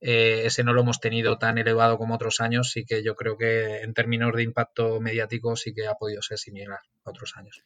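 Spanish man, interview guest, flat measured talk, dull dry remote audio. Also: fairly low pitch, no echo. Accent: Spanish (Castilian). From Castilla-La Mancha, Spain. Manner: thoughtful, many fillers and restarts. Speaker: guest, sports management lecturer. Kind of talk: conversational interview answers.

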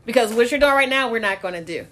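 A woman's voice: a little high, animated, fast, fairly bright tone. A woman talking candidly in a casual chat, blunt and swearing freely, often breaking into laughter.

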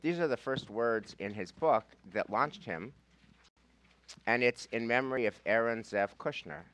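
A man's voice: medium pitch, animated, measured pace, a bit bright, slight background noise. A man with an American accent teaching a class as a rabbi, informal and emphatic, repeating phrases and posing rhetorical questions. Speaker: rabbi leading a Talmud class. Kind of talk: informal teaching talk with reading from a handout.

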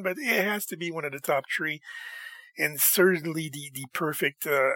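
Chatty, bright-voiced music show host. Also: male voice, a little high, no room sound. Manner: enthusiastic and chatty, with frequent laughs. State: slightly hoarse from losing his voice. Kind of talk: casual solo monologue introducing songs.

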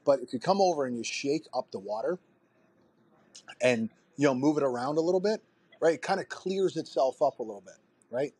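Husky, profane man in his forties. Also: medium pitch, fairly quick, no echo. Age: 40s. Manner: profane, energetic, rambling. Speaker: fitness coach and podcast host. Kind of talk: casual podcast conversation.

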